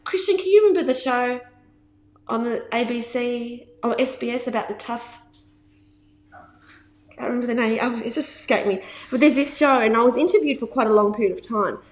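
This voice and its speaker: A woman's voice: fairly high pitch, moderate pace. Emphatic woman in her fifties. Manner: emphatic, repeats words for stress, laughs often. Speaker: business coach. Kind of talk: casual talk to camera.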